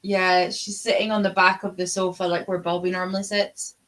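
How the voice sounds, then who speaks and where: A woman's voice: on the low side, little pitch movement, brisk, fairly bright tone. A woman co-hosting a book readalong live stream, chatting casually and rambling with frequent asides. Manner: easily sidetracked, chatty asides. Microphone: live-stream video call.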